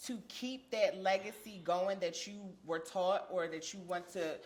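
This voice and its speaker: A woman's voice: fairly low pitch, a little slow. A woman interviewing casually on a podcast, speaking in an encouraging, conversational way.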